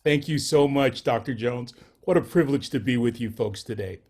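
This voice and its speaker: A man's voice: mid-range voice, moderate pace, a little mellow. A man in his sixties, a journalist on a panel, folksy and self-deprecating. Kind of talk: panel discussion.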